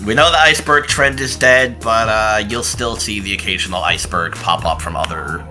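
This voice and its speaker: A man's voice: lowish voice, a little fast, bright, forceful tone. A man co-hosting a casual channel update, rambling along in a self-deprecating way. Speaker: channel co-host. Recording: music behind the talk. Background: background music.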